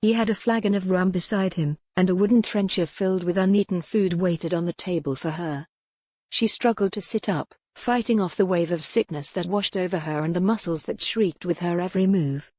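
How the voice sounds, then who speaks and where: A woman's voice: on the low side, fairly quick, a little mellow, dry recording. A woman reading a historical romance novel as scripted audiobook narration.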